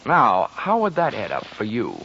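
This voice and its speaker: An actor playing a wry, hard-boiled insurance investigator in a 1940s radio detective drama, wisecracking and clipped, both in narration and in dialogue. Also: male voice, lowish voice, wildly swooping pitch, moderate pace, bright, forceful tone, slight background noise, heavily acted. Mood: sardonic. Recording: dull lo-fi 1940s radio recording.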